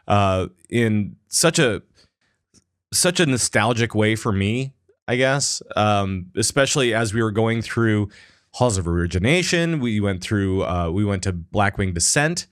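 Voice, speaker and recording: A man's voice: lowish voice, no echo, clean studio sound. A man hosting a casual gaming podcast, talking in a rambling, enthusiastic way with many restarts. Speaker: podcast host.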